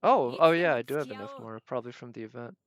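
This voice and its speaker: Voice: medium pitch, fast. A streamer chatting casually with viewers during a game, thinking aloud in a musing, hesitant way. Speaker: live streamer. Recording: game music behind the voice. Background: video game music.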